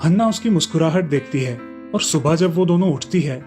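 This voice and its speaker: A man narrating a moral story for a cartoon in a steady storytelling manner. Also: on the high side, brisk, soft background music.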